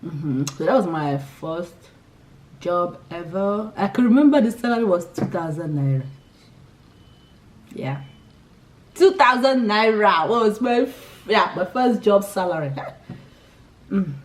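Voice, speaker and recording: A woman's voice: medium pitch, expressive, a little slow, pauses often, far from the mic. A young Nigerian woman, Igbo by birth, chatting casually through a list of questions for her vlog, giggling and often restarting her sentences. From Nigeria, Imo State. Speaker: vlogger. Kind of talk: casual chat answering a tag list.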